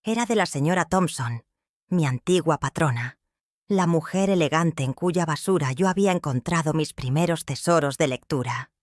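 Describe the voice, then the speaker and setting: An older woman with a Mexican accent narrating her own life story in a slow, heartfelt, reflective way. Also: deep voice, no room sound, studio quality. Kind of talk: first-person storytelling narration.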